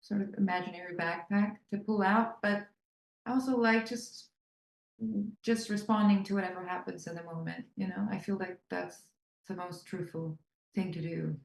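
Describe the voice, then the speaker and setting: German-accented actress, thoughtful interview answers, low register, roomy sound. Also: female voice, fairly even intonation, normal speed, vocal fry. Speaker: actor. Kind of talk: press junket interview.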